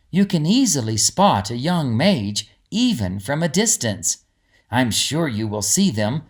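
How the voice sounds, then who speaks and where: A man voicing a kindly, encouraging woman in a storybook, with a gentle, reassuring way of explaining things. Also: low voice, heavily acted. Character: kindly, encouraging woman guiding a young princess. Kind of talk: storybook character dialogue.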